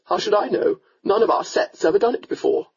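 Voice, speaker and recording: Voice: mid-range voice, huge pitch swings, a little fast, heavily acted. A narrator voicing a stern, domineering older earl who speaks curtly and dismissively, in a slightly muffled recording. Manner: curt, gruff, dismissive.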